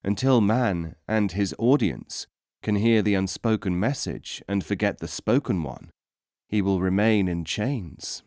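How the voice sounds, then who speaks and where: Measured male narrator, very dry sound. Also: on the low side, lively intonation, a little mellow, dry recording. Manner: measured, formal storytelling. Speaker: audiobook narrator. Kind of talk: scripted narration of teaching stories.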